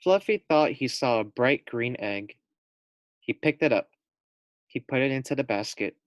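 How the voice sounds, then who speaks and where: A man reads a children's story aloud at a slow pace, in a middling pitch. The recording is close and dry, and he speaks simply and directly, like a teacher with young pupils. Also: lively intonation, no room sound. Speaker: teacher reading aloud to first graders. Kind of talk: expressive storybook read-aloud with casual asides to young listeners.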